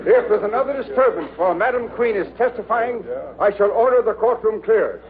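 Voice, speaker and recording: An actor plays a formal, stern male judge with a high voice. He speaks with authority, in classic radio comedy style. The sound is narrow, like an old broadcast. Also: fairly quick, lightly acted. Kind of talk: old-time radio sitcom acting.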